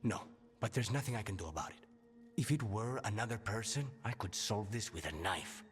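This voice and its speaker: Man playing low-voiced, weary, stoic assassin. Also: expressive, brisk, big-room sound, natural voice. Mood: weary, tense. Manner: terse, restrained. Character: grim, guarded male assassin, stoic and weary. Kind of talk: video game cutscene acting.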